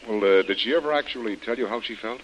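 A man's voice: on the low side, fast, muffled recording, lightly acted. A man playing a shrewd, commanding adventurer hero in a vintage radio drama, explaining things emphatically. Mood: probing. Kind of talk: 1940s radio drama acting. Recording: noisy old radio broadcast recording.

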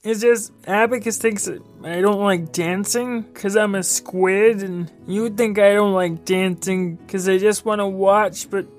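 A woman voicing an earnest, awkward young squid in a children's audio story, halting and sincere. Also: mid-range voice, fairly even intonation, a little mellow, soft background music, lightly acted. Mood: hurt, earnest.

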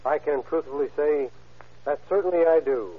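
A man's voice: on the high side, moderate pace. A man with an American accent reading a stiff, earnest, formal address as a police official on old radio. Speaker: police chief, guest speaker. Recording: thin, narrow-band vintage radio recording.

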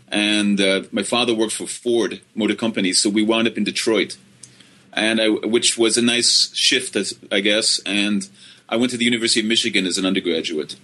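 A middle-aged man from Finland, a history professor telling his story in an interview, halting with many restarts. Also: fairly low pitch, sharp tone. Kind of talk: relaxed interview answers.

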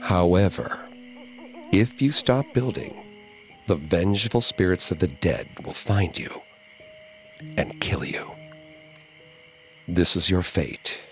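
A man playing a solemn male spirit medium who gives grave warnings in a steady, slow voice. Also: deep voice, soft background music, voice pushed down, exaggerated voice.

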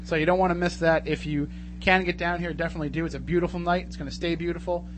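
Jokey chatty radio host guy, voice a bit high, hum and noise behind. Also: fairly even intonation, fast. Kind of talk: casual radio talk. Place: radio studio.

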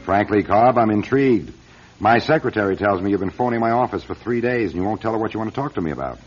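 An actor playing a skeptical, impatient corporate executive in a radio drama, dry, curt and dismissive. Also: male voice, lowish voice, animated, quick pace, husky, soft background music. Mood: wry, guarded. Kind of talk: 1970s-style radio drama acting. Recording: dull lo-fi radio recording.

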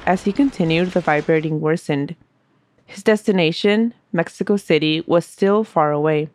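A woman's voice: low-pitched, moderate pace, a little hiss or hum. A woman hosting a history podcast, reading a scripted story aloud while stuffy from a cold. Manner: steady storytelling narration. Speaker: podcast host.

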